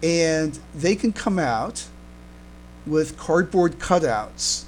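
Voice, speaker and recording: A man co-hosting a casual fan podcast, talking haltingly and often repeating and restarting his words. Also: fairly high pitch, lively intonation. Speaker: podcast co-host. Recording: clear background noise, mains hum.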